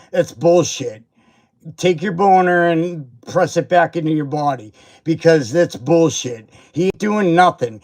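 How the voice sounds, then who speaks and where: A man's voice: high-pitched, creaky. A man hosting a livestream who rants at a seller with profane, confrontational, repetitive jabs. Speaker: toy reviewer and livestream host. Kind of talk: angry livestream rant. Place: home livestream setup.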